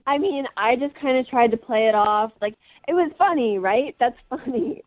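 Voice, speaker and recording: A woman's voice: on the high side, normal speed, no echo. A woman calling in by phone, casually telling a story in a chatty, self-justifying way. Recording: dull phone line.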